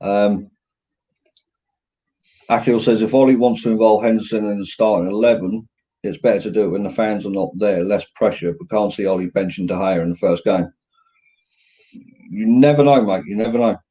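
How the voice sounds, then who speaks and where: A man with a southern English accent and a very deep voice, hosting a casual football fan show and reading out viewers' comments. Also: normal speed, long pauses, dark tone, slightly rough. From England. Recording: dull, lo-fi audio.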